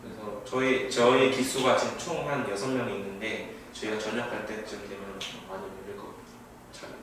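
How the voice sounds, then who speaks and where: A man's voice: fairly low pitch, steady tone, a little fast. A man answering questions politely and formally as an officer instructor at a recruitment briefing. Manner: polite, formal sentence endings. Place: classroom. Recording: echoey classroom, distant mic, noisy.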